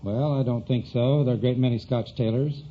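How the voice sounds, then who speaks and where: A man's voice: mid-range voice, steady tone, brisk. A man answering briefly and dryly as a contestant on a vintage radio quiz show. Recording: dull, very noisy vintage radio recording.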